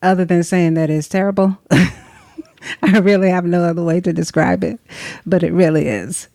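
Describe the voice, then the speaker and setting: A middle-aged woman from Tennessee, speaking as an interview guest in a reflective, soft-spoken way with pauses. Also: lowish voice, fairly even intonation, no echo, clean studio sound. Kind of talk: conversational interview. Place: recording session in Atlanta.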